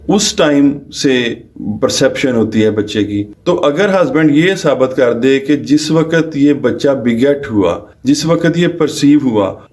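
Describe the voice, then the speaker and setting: A man with a Pakistani accent delivers an explanatory legal lecture. His voice sits at an average male pitch. He works through examples didactically, rephrasing and repeating points, and drops English legal terminology into his sentences. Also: a little mellow. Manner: mixes English legal terms into speech. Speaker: lawyer giving a law lesson.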